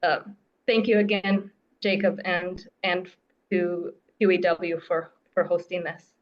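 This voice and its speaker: A woman from the United States asks a question from the audience in a halting, thoughtful and thankful way. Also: lowish voice, little pitch movement, slight background noise. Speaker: audience member asking a question. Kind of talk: casual question from the floor. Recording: dull lo-fi audio.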